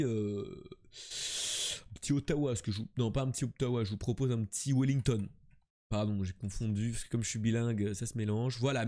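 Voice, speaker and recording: Joking young French streamer, boastful banter. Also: male voice, medium pitch, darkish tone. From France. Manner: joking, boastful, chatty with the chat. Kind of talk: casual live-stream commentary.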